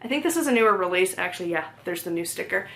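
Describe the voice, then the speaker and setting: A woman's voice: on the low side, lively intonation, very fast, a bit bright. Chatty young woman rambling about books. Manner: rambling, chatty, many "like" fillers and self-corrections; easily laughs, talks to her cat between books. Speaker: book vlogger. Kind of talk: casual chat. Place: home, indoors.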